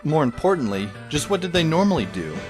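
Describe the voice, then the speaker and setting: Guy narrating a story, dry sarcastic tone, lively delivery, music playing under. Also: on the high side, fast talker. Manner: dry, sardonic. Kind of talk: first-person audio drama narration.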